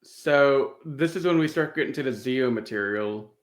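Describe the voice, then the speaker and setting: A man's voice: mid-range voice, normal speed. A man with a mid-range voice talks casually as a podcast co-host. His sound is roomy, with plenty of echo around the words. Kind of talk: casual chat.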